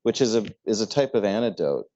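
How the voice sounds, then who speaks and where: Low-voiced guy moderating a panel, thoughtful, stumbles a bit, muffled lo-fi audio. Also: animated, a little fast, mellow. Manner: thoughtful, with stammered restarts.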